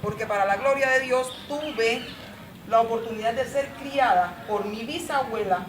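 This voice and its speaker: A woman's voice: fairly high pitch, normal speed. Woman panelist, emphatic storyteller, roomy sound with noise behind. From Colombia. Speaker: panelist at a community forum. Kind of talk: impassioned personal talk. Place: outdoor patio. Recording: roomy, distant and noisy.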